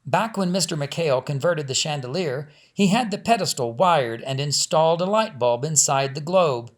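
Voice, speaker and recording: A man's voice: fairly high pitch, lively intonation, normal speed. A man reading a family story aloud as scripted audiobook narration. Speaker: author reading own audiobook.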